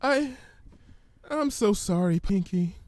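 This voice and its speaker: A shy, fearful young female cartoon pony acted out by a man. The voice is lifted high and falters with meek stammers. It sounds apologetic and hesitant, recorded dry. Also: expressive, takes it slowly, a little mellow, no room sound. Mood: sheepish, apologetic.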